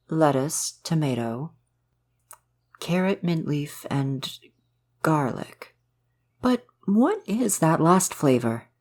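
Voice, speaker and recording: A gentle male forest spirit, acted by a man for an intimate ASMR roleplay. He is shy, curious and earnest, choosing formal words with care and some hesitation, like a guardian unused to human company. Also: fairly high pitch, full character voice.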